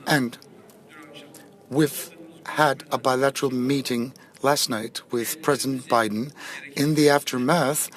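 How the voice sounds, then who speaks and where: A man giving a simultaneous interpretation of a president's answers at a press conference, measured and pausing often with fillers. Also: mid-range voice, steady tone. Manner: measured, with frequent pauses and fillers.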